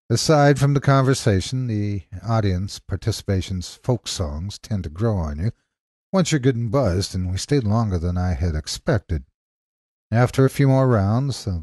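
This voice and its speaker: A man reading a scripted horror story as narrator, with a husky voice and deliberate, dramatic pauses. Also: low-pitched, dark tone, dry recording, studio quality.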